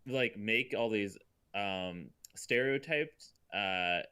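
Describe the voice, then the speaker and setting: A man co-hosting a casual comedic chat, exasperated and animated, in stop-and-start phrases. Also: fairly low pitch, slow, smooth. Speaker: co-host.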